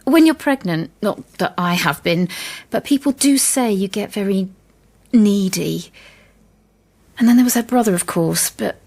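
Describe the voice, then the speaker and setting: A woman playing a candid, reflective witness in a radio drama, husky and breathy, recounting things with pointed asides. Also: medium pitch, animated, a little slow, a little mellow. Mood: musing. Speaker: actor in an audio drama. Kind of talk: monologue, testimony-like radio acting.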